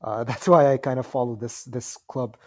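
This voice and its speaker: A man with a dark, relaxed voice and Arabic as his first language takes part in a casual discussion. He is polite and hesitant, often stammering over repeated words before he finishes a thought. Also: mid-range voice, moderate pace. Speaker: discussion participant in an audio chatroom. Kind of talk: casual debate.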